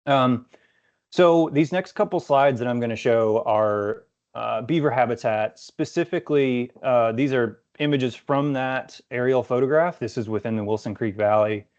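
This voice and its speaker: A man giving an informative slide presentation in a measured, explanatory way, with a voice that is starting to go. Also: medium pitch, a little mellow. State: losing his voice, clearing throat. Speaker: conservation staff presenter. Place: virtual summit video call. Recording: dull lo-fi online call audio.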